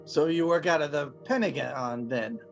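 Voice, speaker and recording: Guy doing a creaky voiced farmer, blunt n curious, bit muffled recording. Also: fairly high pitch, moderate pace, soft background music. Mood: curious, probing. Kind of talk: amateur screenplay table read.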